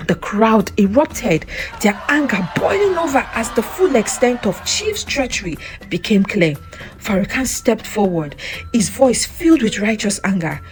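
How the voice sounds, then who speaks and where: A woman narrating a scripted folktale in an expressive, theatrical way. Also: on the high side, unhurried. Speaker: narrator, storyteller. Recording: music under the voice. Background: background music.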